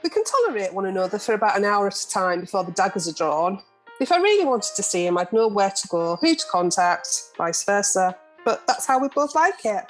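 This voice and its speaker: A woman's voice: mid-range voice, sing-song, a little fast, natural voice, poor audio. A woman playing a middle-aged, hard-bitten and dismissive mother in a radio play, speaking bluntly. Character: hard-bitten, detached mother of a grown son. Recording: video call recording with music.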